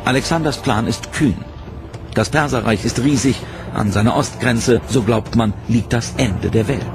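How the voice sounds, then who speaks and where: A man giving scripted, measured documentary narration in a dramatic storytelling manner, over a music bed. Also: mid-range voice, gravelly. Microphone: studio voice-over mic.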